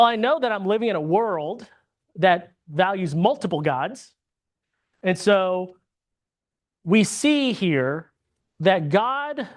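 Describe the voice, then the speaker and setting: A Bible class teacher from the United States, leading a conversational lesson, often asking "right?" and restarting sentences. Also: mid-range voice. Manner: checks in with frequent tag questions; restarts and repeats words mid-sentence.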